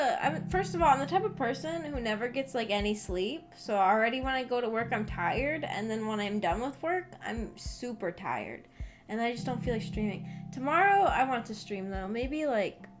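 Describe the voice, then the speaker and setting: A woman's voice: on the high side, expressive, normal speed. A woman streamer talking casually to her viewers in a rambling, hesitant way, thinking aloud as she goes. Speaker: VTuber streamer chatting with viewers. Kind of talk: casual livestream chat. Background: background music.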